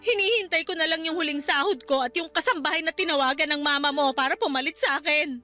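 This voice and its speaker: A betrayed young Filipino housemaid, very high and bright in voice. She speaks with hurt pride and tearful, angry reproach, in radio drama style over a music bed. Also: quick pace. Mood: cold, bitter.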